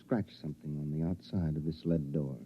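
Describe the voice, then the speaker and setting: An actor playing a gentle, doubtful young husband who survived atomic war, in an earnest 1950s radio drama style. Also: male voice, extremely low pitch, steady tone, a little fast, breathy edge. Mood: dawning hope. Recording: muffled old radio recording.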